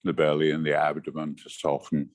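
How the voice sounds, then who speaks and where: A man leading a guided meditation as a teacher, giving gentle, measured instructions in short phrases with pauses. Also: extremely low pitch, monotone, no echo, studio quality.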